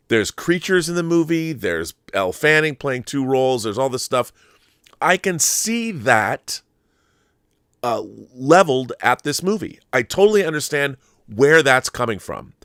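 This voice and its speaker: A man's voice: medium pitch, expressive, normal speed, fairly bright tone, clean tone, no echo, studio quality. A man hosting a film commentary show, talking in a casual, opinionated monologue with an effusive, emphatic, rambling manner and some swearing.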